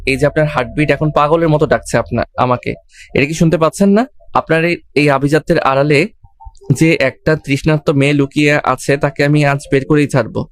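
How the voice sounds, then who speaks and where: Man acting a cheeky romantic young groom, higher-pitched. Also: steady tone, fairly quick, no echo, natural voice. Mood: intense, romantic.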